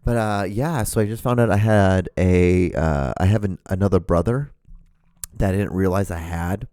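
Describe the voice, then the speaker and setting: A man's voice: low-pitched, normal speed, warm tone, dry recording, clean studio sound. A man in his thirties from Honduras, hosting a solo podcast in a casual, rambling, profane way with many restarts, sitting in a room at a microphone. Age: 30s. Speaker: podcast host. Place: room at home, seated in front of a camera. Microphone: studio microphone, wearing earphones.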